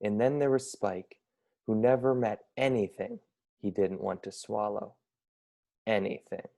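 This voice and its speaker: Casting: young male storybook narrator, dark mellow tone with noticeable vocal fry, measured pace. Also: fairly low pitch. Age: young adult. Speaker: storybook reader, college student. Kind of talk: scripted children's story narration.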